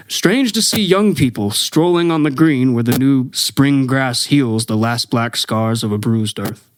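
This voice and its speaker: A man plays a grave professor looking back on catastrophe in a radio play. His delivery is measured, literary and pensive. The sound is dry, with no room around the voice. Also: mid-range voice, no echo, close to an everyday voice. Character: solemn male professor, survivor of a Martian invasion, reflective. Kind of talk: dramatic radio-play monologue, narrated memoir.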